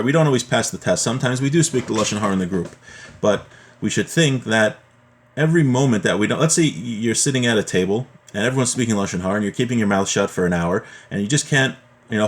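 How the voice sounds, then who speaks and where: A man giving an informal religious lesson as a teacher, explaining in a conversational way. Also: mid-range voice. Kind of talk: informal religious lesson, reading Hebrew text then translating and explaining.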